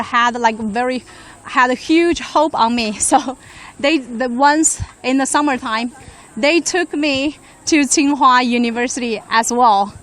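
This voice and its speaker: A woman's voice: sits high, fairly bright tone. A young woman hosting a live outdoor walk-and-talk in a casual, chatty style. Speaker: live-stream host, reporter. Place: outdoors on a university campus. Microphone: handheld microphone.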